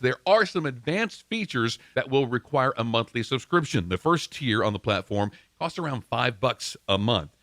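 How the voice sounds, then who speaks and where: A man's voice: mid-range voice, a bit bright, dry recording, studio quality. A man presenting an instructional podcast as its host, explaining things step by step and addressing the listener directly.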